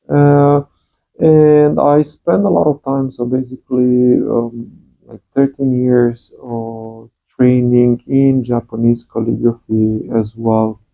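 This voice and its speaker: A Romanian-born man with a Romanian accent, introducing his calligraphy channel in a slow, halting way with many pauses and fillers. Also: medium pitch, flat delivery, creaky. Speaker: channel host, calligraphy teacher. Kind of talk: hesitant personal introduction. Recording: phone-like narrow sound.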